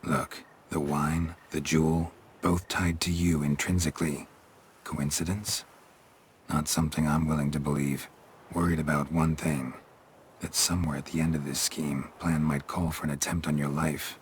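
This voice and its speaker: A man's voice: very deep voice, flat delivery, normal speed. A man with an American accent playing a stoic, middle-aged monster hunter in a video game, speaking in terse, clipped, world-weary phrases. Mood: guarded. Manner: terse, clipped, drops sentence subjects. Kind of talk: video game dialogue acting. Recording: clear background noise, ambience.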